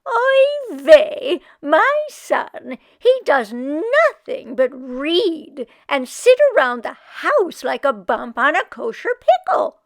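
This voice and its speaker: A storybook old village mother, played by a woman reader, who wails and kvetches with great self-pity. Her high voice swoops up and down dramatically, and she drags out her grievances slowly. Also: very animated, full character voice. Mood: wailing complaint. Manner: wailing, exaggerated complaining with Yiddish exclamations. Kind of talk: storybook character voice.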